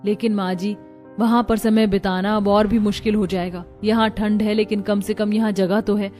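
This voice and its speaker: A woman's voice: mid-range voice, little pitch movement, moderate pace, a little mellow, close to an everyday voice. A woman voicing worried daughters-in-law in a cartoon family melodrama, earnest and plaintive. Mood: complaining. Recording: music bed behind the voice.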